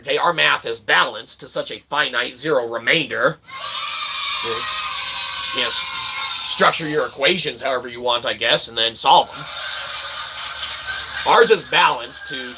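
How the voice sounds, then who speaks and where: Gravelly, emphatic man ranting. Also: fairly high pitch, noisy background. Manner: emphatic, repeats "okay?" constantly; rants with bursts of shouting and laughter. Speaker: channel host. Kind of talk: rambling livestream monologue.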